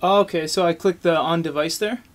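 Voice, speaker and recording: A man's voice: fairly high pitch, brisk. A man chatting casually and in an easygoing way as he demonstrates a product as video host.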